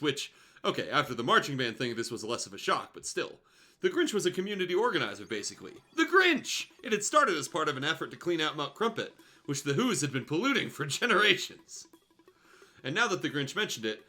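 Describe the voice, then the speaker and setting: Animated, bright-voiced man reading comically. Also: on the high side. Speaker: guest host reading fan fiction. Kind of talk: casual comedic storybook reading with asides.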